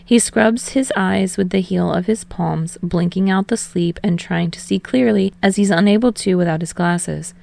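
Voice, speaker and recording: Woman reading a story, lowish voice, lots of fry, audiobook style narration. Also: moderate pace, hardly any pauses, a little mellow. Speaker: podfic narrator. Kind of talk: scripted fiction narration.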